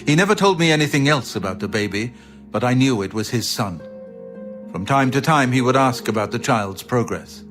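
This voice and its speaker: A male actor playing a grave, learned former royal bodyguard captain in a fantasy video game, speaking in a measured, solemn way. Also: medium pitch, lively intonation, a bit bright, soft background music, close to an everyday voice. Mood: solemn, explanatory. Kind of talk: scripted fantasy game dialogue.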